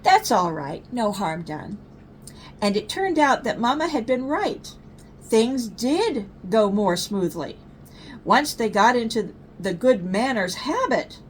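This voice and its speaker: Older female storyteller for bedtime tales: unhurried, animated, medium pitch, slight background noise. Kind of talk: children's bedtime story reading. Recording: faint low rumbling background noise.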